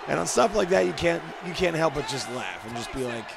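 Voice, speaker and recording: A man's voice: a little high, animated, a little fast, creaky, heavy background noise. A man giving casual, jokey and self-deprecating commentary as a host, rambling as he goes. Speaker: host commenting on wrestling video game cutscenes. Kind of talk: casual commentary with mock wrestling announcing.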